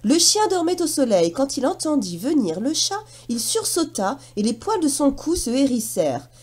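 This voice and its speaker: A woman narrating a children's story in an expressive storytelling style. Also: high-pitched, moderate pace.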